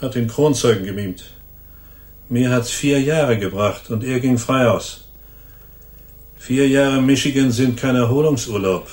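Guy acting a hardened crook with a gun, sneering tough talk, mid-pitched voice, radio thriller, echoey room. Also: measured pace, long pauses, a little mellow, lightly acted. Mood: bitter, smug. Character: cynical hardened ex-convict gunman, menacing and resigned. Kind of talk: radio crime drama acting. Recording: roomy studio echo.

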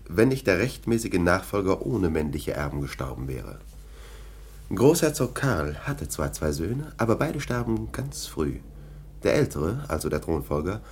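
A man's voice: deep voice, darkish tone, slightly rough, exaggerated voice. A man playing a stern police official with a sneering, mocking manner in a radio play. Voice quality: sneering, dry mockery. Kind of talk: 1960s German radio play acting.

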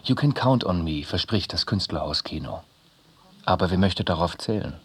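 A man's voice: low voice, animated, normal speed, breathy edge. A man reading literary prose as a narrator in a radio drama.

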